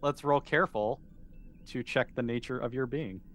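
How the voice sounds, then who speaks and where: A man's voice: medium pitch, normal speed, fairly bright tone, soft background music. A man running an improvised tabletop game as game master, thinking aloud and piecing his sentences together hesitantly.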